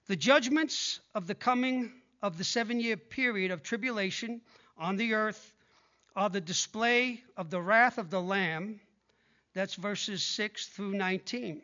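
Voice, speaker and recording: High bright-voiced older male pastor. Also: highs cut off. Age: 60s. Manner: conversational preaching, drops to a hushed voice in prayer. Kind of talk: sermon with prayer and scripture reading.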